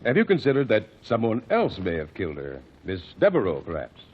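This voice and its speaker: An actor playing a calm, methodical man who cross-examines witnesses in court, speaking formally and precisely, in 1940s radio acting. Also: on the low side, husky, heavily acted. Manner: measured, formal, precise diction. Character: calm, methodical male courtroom lawyer-detective. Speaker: amicus curiae lead. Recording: dull old radio broadcast, faint hiss.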